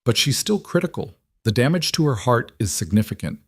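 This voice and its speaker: A man voicing a calm, professional female toxicologist who speaks in a clinical, careful way in an audio story. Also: mid-range voice, a little fast, husky, no echo, clean studio sound.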